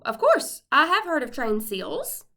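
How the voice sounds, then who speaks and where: A high, bright-voiced, practical wife and mother from a children's storybook, as a woman reader acts her out. She is fretful and earnest, speaking expressively. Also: wildly swooping pitch, measured pace, vocal fry, heavily acted. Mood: matter-of-fact.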